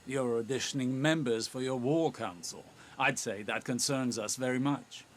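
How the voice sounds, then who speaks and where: A man playing a shrewd, probing advisor in a video game, speaking in a measured, cutting, wry manner. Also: medium pitch, a little hiss or hum, natural voice. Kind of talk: dramatic video game acting. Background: game music.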